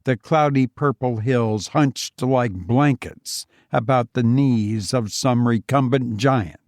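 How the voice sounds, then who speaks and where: Slow, deliberate male storyteller, dry sound. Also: medium pitch, a little mellow, no echo. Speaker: audiobook narrator. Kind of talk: dramatic scripted narration of a literary horror story.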